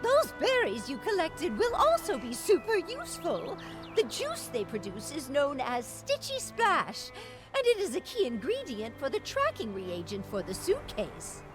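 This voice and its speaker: A woman's voice: high voice, wildly swooping pitch, unhurried, exaggerated voice. A woman voicing an elegant, sentimental former actress in video game story dialogue, with a dramatic, lilting storytelling manner. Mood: bright, encouraging. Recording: background music under the voice.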